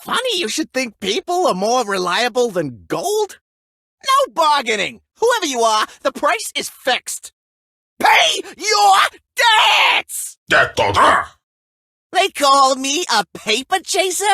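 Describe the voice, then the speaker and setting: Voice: high-pitched, very animated, takes it slowly, sharp tone, full character voice. An actor voicing a cheeky, money-minded young trader in a video game, brash and teasing. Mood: haggling. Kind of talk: animated video game character lines.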